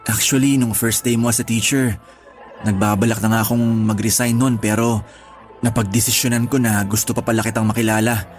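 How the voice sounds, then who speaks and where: A man's voice: fairly low pitch, flat delivery, brisk, faint music behind, lightly acted. A man playing a sincere male schoolteacher sweet on his colleague, earnest and conversational in a radio drama. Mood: confessing, tender. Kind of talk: Tagalog radio drama acting.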